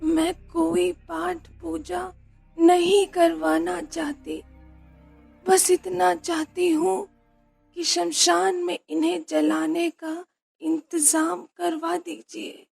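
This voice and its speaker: A woman playing a grieving village landowner's widow who is patient and resolute, speaking in a halting, restrained way. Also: very high-pitched, little pitch movement, vocal fry, a little mellow, no echo, soft background music, heavily acted. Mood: subdued, careful.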